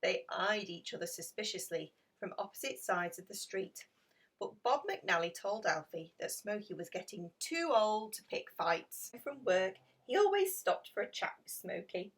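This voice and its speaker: A woman's voice: mid-range voice, expressive, normal speed, slightly rough, distant mic. A woman reading a children's picture book aloud as a storyteller. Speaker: storybook reader.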